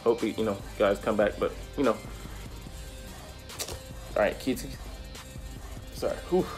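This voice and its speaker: A man's voice: on the low side, unhurried, soft background music. A young man chatting casually and with lots of slang as a reaction video host, recorded in a small room. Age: young adult. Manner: animated, slangy. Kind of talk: casual vlog reaction chat.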